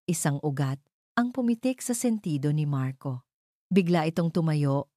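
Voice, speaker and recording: A woman's voice: deep voice, animated, normal speed, mellow, dry recording, clean studio sound. A woman giving dramatic scripted narration of a story.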